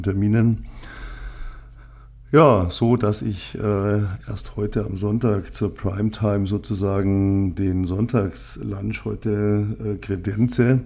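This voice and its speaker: A man in his fifties from Munich, chats through a solo podcast in a loose, rambling way. His voice sits low and dark, with a husky, gravelly edge. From Munich, Germany. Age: 50s. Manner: rambling, frequent fillers, relaxed. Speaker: podcast host, agency owner. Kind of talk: casual solo podcast monologue.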